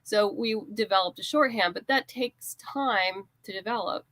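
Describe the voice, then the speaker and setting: A woman with a medium-pitched voice in a very dry recording, answering as an interview guest. She talks informally and conversationally, illustrating points with little anecdotes and acting out bits of past exchanges. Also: normal speed, a bit bright, dry recording, poor audio. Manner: informal, explains through anecdotes and quoted exchanges. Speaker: guest, writer and comics creator.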